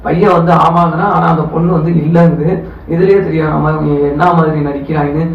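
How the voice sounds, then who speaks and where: Fast, gravelly man in an echoey room. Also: on the high side, flat delivery. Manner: colloquial, conversational, critical. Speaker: commentary video host. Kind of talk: casual reaction commentary. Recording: distant, echoey, dull with faint noise.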